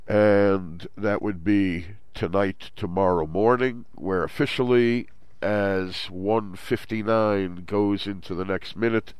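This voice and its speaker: A man's voice: on the low side, clear, resonant tone, no echo. A man with a stuffed-up, nasal voice hosting a Torah study program, explaining scripture steadily and mixing in Hebrew terms. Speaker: host. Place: Jerusalem.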